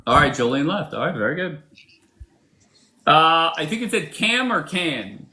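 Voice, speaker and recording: A man hosts a casual live-stream debate in a sharp, bright voice. He swears freely and pushes his guests with blunt questions. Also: fairly high pitch, sing-song, a little slow. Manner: blunt, profane, challenging. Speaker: livestream host.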